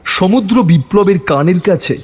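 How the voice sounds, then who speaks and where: A male narrator tells a dramatic horror story from a script. His voice is fairly high and husky, with a long reverb trailing it. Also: expressive, normal speed, a little mellow.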